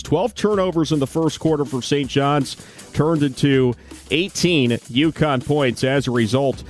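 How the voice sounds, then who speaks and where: A man reading a sports update as studio host of a radio broadcast. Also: medium pitch.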